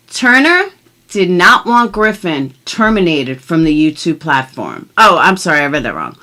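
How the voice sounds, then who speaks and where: A woman's voice: mid-range voice, sharp tone. A middle-aged woman vlogger who chats casually and reads documents aloud in an emphatic, blunt manner, swearing freely. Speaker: commentary vlogger. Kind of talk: casual chat and mock-dramatic reading of legal documents.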